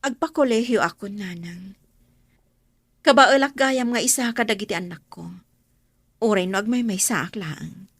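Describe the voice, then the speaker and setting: A woman's voice: mid-range voice, normal speed, long pauses, a bit bright, breathy edge, dry recording, studio quality. A woman playing a wronged, struggling mother in a radio drama, speaking with an Ilocano accent. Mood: hurt, resigned. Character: Marlyn, long-suffering wronged wife and struggling mother.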